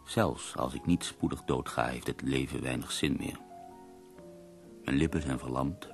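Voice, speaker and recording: A very low male voice as a half-paralysed poet in a radio play. He speaks slowly and wearily, with sardonic, literary bitterness about his own suffering. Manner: slow, weary, literary and sardonic. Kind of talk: radio drama monologue and dialogue.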